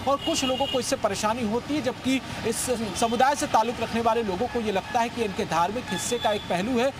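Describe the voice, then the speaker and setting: Traffic and street noise run clearly behind this man. He is a field reporter with a high-pitched voice, delivering an unscripted live news report outdoors. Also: a little fast. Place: outdoors on a city roadside.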